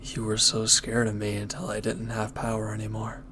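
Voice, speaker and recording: A man playing a former villain turned hesitant, conflicted lover, speaking earnestly and haltingly in an intimate audio roleplay. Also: on the low side, completely flat delivery, background noise, lightly acted. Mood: regretful, earnest.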